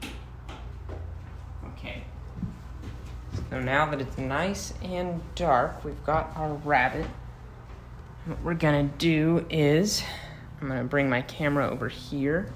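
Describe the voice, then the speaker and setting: A man's voice: on the high side, noisy background. An American man, a first-grade teacher, gives a casual, playful craft demonstration for young children, talking to them as he works.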